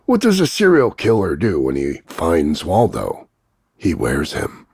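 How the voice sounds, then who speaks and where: A man voicing a cocky, crude macho action hero, gravelly and husky, delivering smug deadpan one-liners in a slow drawl of punchlines. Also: sits low, no room sound, studio quality, full character voice. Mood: deadpan, mocking. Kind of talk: voice-over demo of insults and one-liners.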